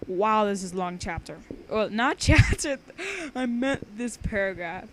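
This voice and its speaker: A young woman reads aloud in an informal, chatty way. She is giggly and trips over words, switching between story and banter. Her pitch is average, and the homemade recording has some faint background noise. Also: animated, measured pace. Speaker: amateur reader of own fan fiction. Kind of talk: casual read-aloud with banter. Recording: noisy homemade amateur recording.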